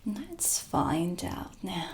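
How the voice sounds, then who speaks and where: A woman playing a proud, mysterious dark witch with cool menace, whispering slowly and theatrically in an ASMR roleplay. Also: lowish voice, sing-song, a little hiss or hum, full character voice. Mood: curious, appraising. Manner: slow, breathy, theatrical, deliberate whispering. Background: dark ambient music.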